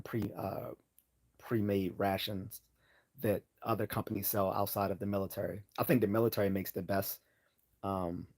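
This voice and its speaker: A man hosting a casual live Q&A, hoarse from losing his voice, rambling with many fillers and restarts as he answers chat questions. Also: lowish voice, darkish tone.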